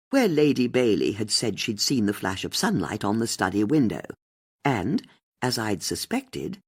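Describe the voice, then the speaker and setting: A woman's voice: a bass voice, vocal fry, husky, heavily acted. A woman voicing a shrewd, triumphant older female amateur sleuth in an audiobook, speaking precisely and theatrically as if laying out a case. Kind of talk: audiobook character dialogue.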